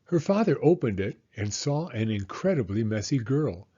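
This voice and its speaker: A man reading a children's picture book aloud in an expressive, animated way, as a storyteller speaking to young listeners. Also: mid-range voice, normal speed, husky, muffled recording. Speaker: storyteller, former school teacher.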